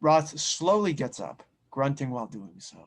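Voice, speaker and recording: A man's voice: medium pitch, sing-song, normal speed. A man reading scene directions as narrator at an audio drama table read, in a dramatic scripted style.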